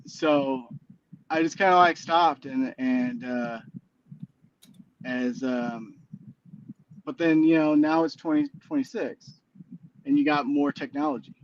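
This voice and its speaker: A man's voice: on the high side, slow, long pauses, highs cut off, a little hiss or hum. A man in his forties, a guest on a casual chat show, talking in a rambling, hesitant way with many fillers and restarts. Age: 40s. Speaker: guest musician.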